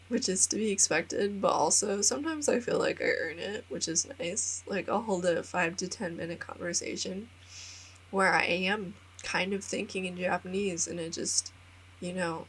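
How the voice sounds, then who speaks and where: A woman's voice: fairly low pitch, a bit bright, slight background noise, poor audio. A young American woman in her twenties talking casually in a vlog voice-over. She rambles a little, says "like" often, laughs at herself and sounds upbeat and self-deprecating. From United States. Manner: chatty, rambling, many filler likes, laughs at herself. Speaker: vlogger and English teacher in Japan.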